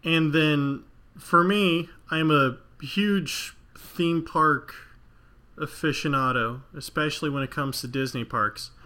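A man's voice: mid-range voice, sharp tone. A man hosting an interview, asking eager, enthusiastic questions. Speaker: interview host. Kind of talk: casual interview chat.

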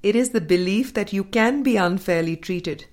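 A woman reading a spiritual self-help audiobook in a measured, deliberate way. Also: mid-range voice, a little mellow. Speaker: audiobook reader.

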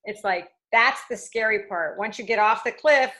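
Woman host, casual interview, encouraging, quick affirmations. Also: medium pitch, sharp tone. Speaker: podcast host.

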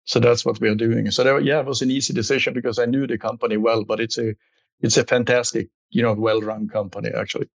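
Male executive guest, Swedish accent, fairly flat intonation, conversational, on dry, slightly muffled audio. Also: medium pitch, fast talker, gravelly, no room sound. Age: middle-aged or older. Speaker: company executive, interview guest. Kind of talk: conversational interview answers.

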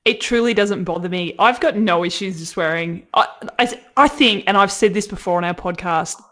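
An Australian woman hosting a podcast, talking in an animated, casual way and laughing a lot. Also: medium pitch, normal speed. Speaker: podcast host. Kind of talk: casual interview chat.

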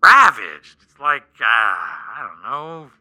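Bright, animated, exasperated talent agent played by a man. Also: mid-range voice, slow, exaggerated voice. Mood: squeamish exasperation. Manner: incredulous, sarcastic, rambling rants. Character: exasperated, prying male Hollywood agent and longtime friend. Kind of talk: naturalistic comedic radio play dialogue.

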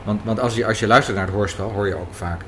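Low-voiced Dutch male interviewer. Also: fast, pushed and bright. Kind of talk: conversational interview. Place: café, indoors. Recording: clear café background noise. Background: café noise.

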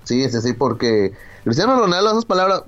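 A man's voice: a little high, sing-song, a little fast, fairly bright tone, muffled recording, faint music behind. A man, co-hosting a casual sports chat and talking hesitantly, with many fillers. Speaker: co-host.

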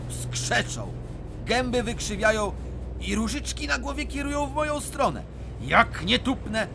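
An actor playing a boastful male miner who spins tall tales in a lively, theatrical manner in a radio drama. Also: sits high, noisy background, heavily acted. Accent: Silesian. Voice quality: lively storyteller, swooping theatrical delivery.

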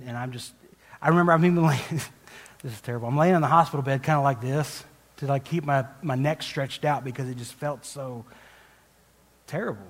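A man preaching a conversational sermon as a guest speaker, telling a personal story in a rambling, self-deprecating way with frequent restarts and laughs. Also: medium pitch. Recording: long hall reverb.

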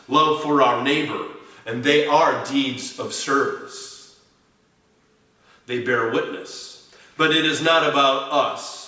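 A man preaching a sermon as a pastor, with emphatic, deliberate phrasing and dramatic pauses. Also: mid-range voice, pushed and bright. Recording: roomy echo, distant mic, slightly muffled.

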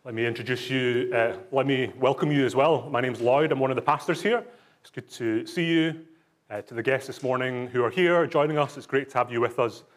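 A man's voice: mid-range voice, fairly quick. A man from Scotland with a Scottish accent, a pastor giving a conversational sermon full of rhetorical questions and fillers.

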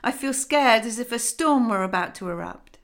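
A woman's voice: fairly high pitch, lively intonation, normal speed, fairly bright tone. A woman voicing a guilty, terrified stepmother with anxious, fearful outbursts.

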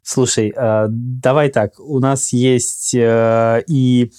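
Male host, teasing and chatty tone, mid-range pitch, relaxed interview. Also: extremely slow, smooth. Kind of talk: casual conversation.

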